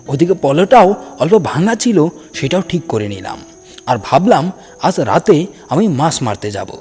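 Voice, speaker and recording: Male narrator for a cartoon horror tale, slightly high voice, swinging expressive delivery, cavernous echo. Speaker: storyteller narrating a first-person ghost tale. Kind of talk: dramatic scripted narration for a cartoon horror story. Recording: long cavernous reverb, music underneath. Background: background music.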